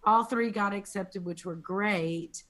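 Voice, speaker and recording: Raspy low-voiced woman chatting. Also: slow pace, a bit bright. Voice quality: deep raspy voice. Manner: chatty, tells anecdotes with quoted asides. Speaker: quilt teacher and guest. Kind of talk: casual chat.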